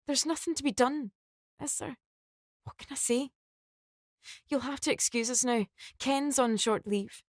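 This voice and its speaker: A woman voicing a distressed young woman torn between two men, high and pleading. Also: no room sound, studio quality, lightly acted.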